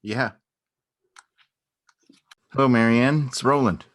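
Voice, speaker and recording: A man's voice: mid-range voice, takes it slowly, close to an everyday voice. A man acting an awkward, earnest, unassuming beekeeper in a naturalistic stage reading, speaking in a hesitant, understated way. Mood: nervous, polite. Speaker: amateur or local theatre actor.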